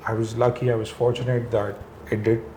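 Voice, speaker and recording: A man from Pakistan, a real estate business owner, talking as an interview guest in a reflective, relaxed way. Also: mid-range voice, a little fast, a little hiss or hum. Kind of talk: conversational interview.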